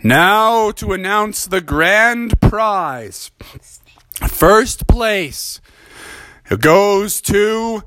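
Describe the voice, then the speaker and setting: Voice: mid-range voice, expressive, a bit bright, a little hiss or hum, pitched above the performer's own voice. An adult storyteller voicing a formal contest announcer in a bedtime story, drawing the words out. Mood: announcing. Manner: drawn-out, announcing.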